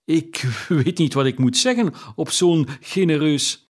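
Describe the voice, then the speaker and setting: A man voicing a kindly old gentleman who exclaims breathlessly in surprise. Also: a little high, unhurried, voice pushed up. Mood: astonished.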